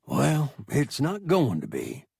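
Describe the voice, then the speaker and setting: A man voicing a weary, fatherly male pilot who keeps his voice low and soft. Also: vocal fry, husky, no room sound, studio quality, full character voice. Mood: bitter resolve. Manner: low and deliberately soft, trying to sound calm. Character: weary middle-aged male pilot, gruff but fatherly.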